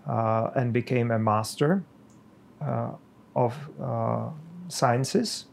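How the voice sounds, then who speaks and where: A middle-aged man from the Czech Republic with a Czech accent, a pastor speaking slowly and haltingly with many pauses in a podcast conversation. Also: medium pitch, creaky, darkish tone, a little hiss or hum.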